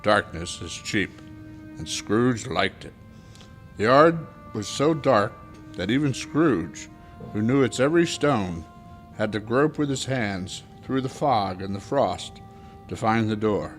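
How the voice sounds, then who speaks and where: A man's voice: lowish voice, animated, slow. Male radio-drama narrator over music.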